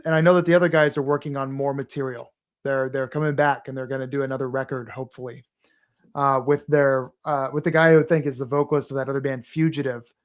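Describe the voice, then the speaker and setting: A man's voice: medium pitch, little pitch movement, fast. A man from Texas, a podcast guest talking casually and earnestly, rambling with fillers and restarts.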